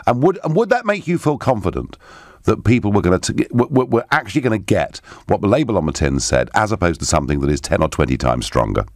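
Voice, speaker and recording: A man's voice: on the low side, very animated, quick pace, no room sound. A man, hosting a live radio phone-in from a studio and reading out listener messages with emphatic delivery. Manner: emphatic, swooping delivery, interjects with questions. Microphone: studio microphone with headphones.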